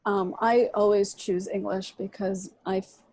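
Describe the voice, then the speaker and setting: A woman poet whose first language is Russian, answering questions thoughtfully over a video call and pausing often. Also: medium pitch, flat delivery, a little mellow, dry recording. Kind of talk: reflective answers in an online reading Q&A.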